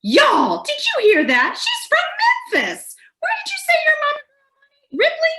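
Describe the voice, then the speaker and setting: A woman's voice: very high voice, wildly swooping pitch, unhurried, bright, forceful tone, voice pushed up, full character voice. A woman voicing a chatty, gushing older Southern tourist with a Southern US accent in a humorous essay reading. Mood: amazed. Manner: gushing, folksy, exclamatory. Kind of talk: acted dialogue in a humorous personal essay.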